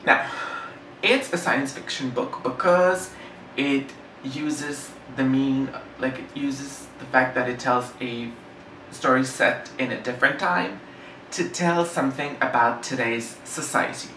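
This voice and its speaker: Bright-voiced French-native man reviewing a book. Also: medium pitch, measured pace. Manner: rambling, self-correcting, enthusiastic. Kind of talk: casual book discussion. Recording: roomy, slightly distant mic, faint hiss.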